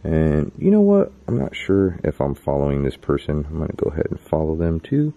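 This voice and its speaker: A man in his thirties hosting a vlog, chatting to the camera and reading off viewer names while exploring a dark abandoned stadium at night. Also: extremely low pitch, huge pitch swings, brisk. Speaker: YouTube host. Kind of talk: casual vlog narration. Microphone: handheld camera held close.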